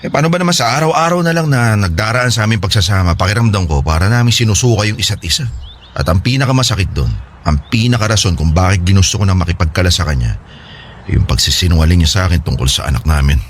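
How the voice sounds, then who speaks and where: Very deep-voiced, regretful husband acted by a man. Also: lively intonation, fast, slight background noise. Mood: hurt, confiding. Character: regretful, earnest separated husband and devoted father. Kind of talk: Filipino radio drama dialogue.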